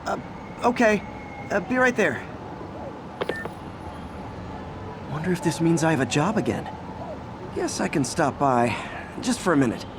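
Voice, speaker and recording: An actor playing a friendly, earnest young American superhero in a video game, talking in a casual, slightly awkward way. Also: male voice, fairly high pitch, big pitch swings, normal speed, background noise. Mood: hesitant. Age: young adult. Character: earnest, friendly young male superhero juggling everyday life.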